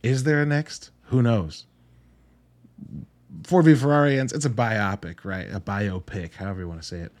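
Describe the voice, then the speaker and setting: A man's voice: lowish voice, fairly even intonation, normal speed, darkish tone. Casual, blunt man reviewing films. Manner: conversational, blunt, casually profane. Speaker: podcast host reviewing films. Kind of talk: casual solo film review commentary.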